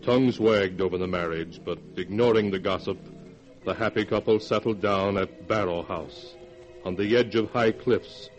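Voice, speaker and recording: A low-pitched male storyteller played by an actor narrates an old radio horror tale ominously. The dramatic 1940s delivery comes through a dull, vintage recording with music behind it. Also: moderate pace, lightly acted. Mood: portentous.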